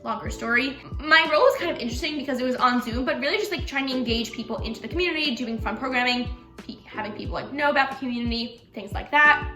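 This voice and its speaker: A woman's voice: high voice, quick pace, pushed and bright. A young woman whose first language is Russian, chatting casually with many fillers about leading a student community. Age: young adult. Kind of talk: casual chat. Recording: echoey room with music.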